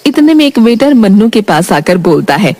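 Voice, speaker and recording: A woman's voice: mid-range voice, big pitch swings, normal speed, dark tone, soft background music. A woman reading scripted narration for a moral bedtime story.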